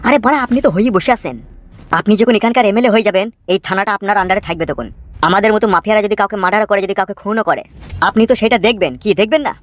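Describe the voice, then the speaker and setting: Fast-talking swaggering cartoon gangster, acted by a man. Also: sits high, fairly bright tone, dry recording, dull audio. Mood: menacing swagger. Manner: crude, cocky, bragging. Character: swaggering boastful male gangster and mafia fixer. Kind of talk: comedy cartoon dubbing.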